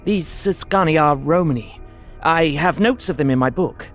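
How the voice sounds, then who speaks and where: An actor playing an earnest, anxious young solicitor held captive, narrating his journal in a measured, literary way for an audio drama. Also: a little high, soft background music, close to an everyday voice. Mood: guarded hope.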